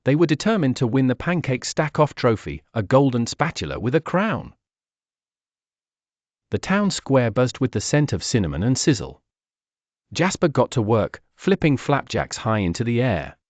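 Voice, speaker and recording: A man reading a scripted children's story aloud in an animated, expressive storytelling manner. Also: medium pitch, moderate pace, dry recording.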